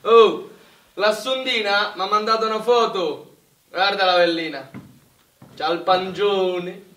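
An actor playing a naive, earthy young peasant soldier, plain-spoken in rustic central Italian dialect. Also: male voice, high-pitched, slow pace, a bit bright. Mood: naive, proud. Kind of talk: live stage or radio war drama acting. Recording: roomy, picked up from distance.

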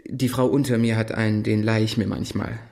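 A man's voice: fairly low pitch, level tone, brisk, creaky, mellow. An actor playing an awkward, polite, eccentric man in his thirties in an audio drama, speaking hesitantly. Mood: flustered. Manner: hesitant, evasive, mild.

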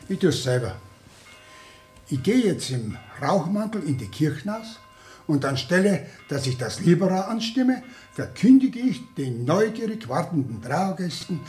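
An actor playing a helpless, dithering village priest with a Bavarian accent in a 1960s radio play. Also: male voice, on the high side, sing-song, measured pace, darkish tone, roomy sound, faint music behind. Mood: resigned, resolute. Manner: dithering, perplexed. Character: flustered, helpless village priest, thirty years in office.

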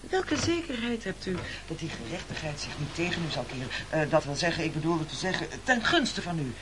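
An actor playing a pompous, scheming castaway leader in a radio play, with oratorical delivery. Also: male voice, a little high, very animated, a little fast, background noise. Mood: reproachful. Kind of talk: theatrical radio play acting.

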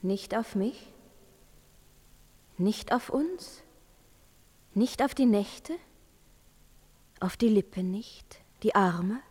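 A woman playing a seductive witch and spurned lover in a theatrical verse radio play, breathy and often whispering. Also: mid-range voice, a little mellow, dry recording. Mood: wounded pleading. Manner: slow, incantatory, yearning delivery. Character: seductive witch, the emperor's jilted lover, pleading and taunting.

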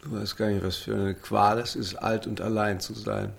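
A man's voice: fairly low pitch, flat delivery, moderate pace, gravelly, breathy edge, heavily acted. An actor playing a lonely, tipsy middle-aged father in a radio drama, wry and bitter, with a rambling, self-mocking way of talking. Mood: self-pitying, wry. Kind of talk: naturalistic radio drama acting.

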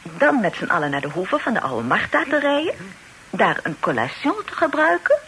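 An actress playing a fussy, bossy middle-aged aunt in a radio drama, chattering and flustered. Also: female voice, mid-range voice, very animated, moderate pace, fairly bright tone, breathy edge, full character voice. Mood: chatty, brisk. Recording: noisy old radio play recording.

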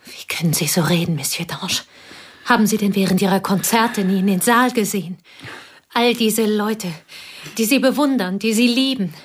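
A woman's voice: mid-range voice, normal speed, husky, natural voice. An actress playing a young woman who was the loyal confidante of the dead wife, earnest and pleading in a radio play. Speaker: actor in radio drama.

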